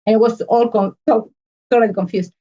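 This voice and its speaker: A woman, an earnest podcast guest talking in halting phrases full of restarts and fillers. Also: a little high, steady tone, mellow, muffled recording. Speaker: podcast guest, business consultant. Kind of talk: casual interview conversation.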